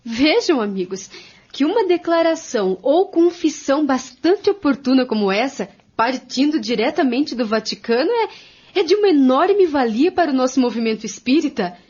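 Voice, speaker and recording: A woman with a Brazilian accent playing an earnest, formal spiritist commentator in a scripted radio drama, with a husky voice. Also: high voice, big pitch swings, normal speed, a little mellow. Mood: emphatic, assured. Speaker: radio drama narrator and discussant. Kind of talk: scripted radio drama narration in dialogue form. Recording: dull, lo-fi audio.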